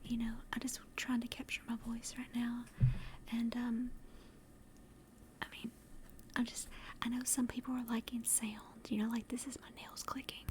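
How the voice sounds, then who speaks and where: A woman chatting casually to livestream viewers in a soft, breathy ASMR whisper, gentle and rambling, close to the microphone. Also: completely flat delivery, normal speed, pauses often, slight background noise. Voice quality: soft, breathy whisper and hushed low-volume speech. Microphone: close directional microphone.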